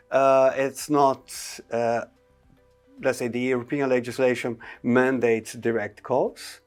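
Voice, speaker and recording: A man's voice: mid-range voice, a little mellow. A man giving an informational presentation on emergency call rules, explaining step by step with frequent hesitations.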